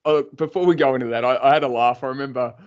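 A man's voice: mid-range voice, steady tone, clean tone, muffled recording. An Australian man hosting a podcast interview, chatting casually and teasing his guest, with frequent restarts. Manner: joking, teasing, halting restarts. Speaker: podcast host.

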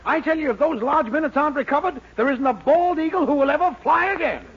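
Agitated middle-aged store boss, American, creaky, fussy, henpecked, muffled old radio comedy. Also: male voice, very high-pitched, expressive, fast. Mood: panicky. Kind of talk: 1940s radio situation comedy acting. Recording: muffled, noisy 1940s radio recording.

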